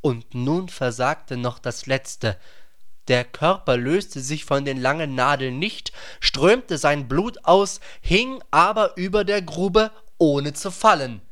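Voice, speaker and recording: A man narrating a literary radio play from a script in a measured, grave manner. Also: on the high side, expressive, fairly bright tone.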